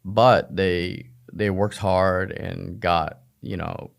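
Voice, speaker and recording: Creaky low-voiced man chatting informally. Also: steady tone, extremely slow. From United States, raised in Oklahoma and elsewhere, Vietnamese family. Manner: informal, self-deprecating, rhetorical questions. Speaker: podcast guest, physician. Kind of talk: casual personal storytelling in a conversation.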